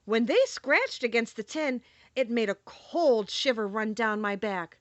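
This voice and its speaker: A great male lion who admits he is a coward, plaintive and full of sorrow, lifting his voice high whenever he frets. A woman voices him while reading the tale aloud, with a bright, dry, close sound. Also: a little slow, no room sound, highs cut off. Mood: mournful. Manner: plaintive, self-pitying storybook telling. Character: timid, sorrowful male lion, big as a horse. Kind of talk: audiobook character reading.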